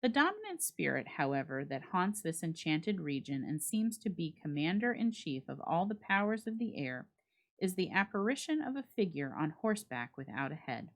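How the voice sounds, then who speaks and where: A woman reads a literary story aloud as a dramatic narrator, with measured, expressive phrasing. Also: sits low. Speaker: library staff reader and host.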